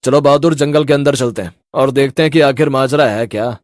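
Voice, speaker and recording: A man's voice: medium pitch, fairly quick, no echo, studio quality, lightly acted. Man playing a firm, brave inspector. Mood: curious, resolute. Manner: firm, resolute. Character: brave, determined male police inspector. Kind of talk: Hindi horror cartoon voice acting.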